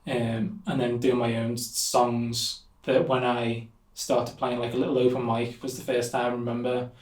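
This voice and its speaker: A man's voice: mid-range voice, completely flat delivery, normal speed, darkish tone. A young man with a Liverpool accent chatting casually as an interview guest, rambling with many fillers. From Liverpool, England. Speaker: musician guest. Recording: echoey room, somewhat distant mic.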